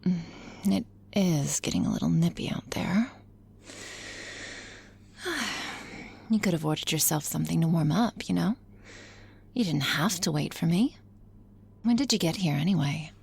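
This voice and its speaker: Casting: actress as flirty, sardonic female boss for ASMR roleplay; gravelly, unhurried, intimate, dips into whispers. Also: lowish voice, a little mellow, exaggerated voice. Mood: warm, solicitous. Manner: playful, sardonic, drifting into breathy whispers. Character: teasing, cynical, flirtatious woman boss on coffee date.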